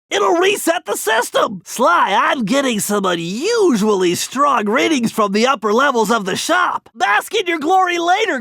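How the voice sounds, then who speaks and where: A man's voice: extremely high pitch, creaky, sharp tone, no echo, studio quality, full character voice. A man voicing an earnest, nerdy turtle tech genius in a video game, giving precise, urgent mission instructions. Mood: urgent, commanding. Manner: precise, technical, urgent briefing delivery.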